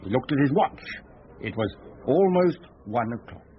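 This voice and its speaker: A man's voice: mid-range voice, big pitch swings, normal speed, a little hiss or hum, natural voice. An actor playing a diligent, precise investigator in a radio drama, reporting facts methodically to his chief. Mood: methodical, factual. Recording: dull, muffled radio sound.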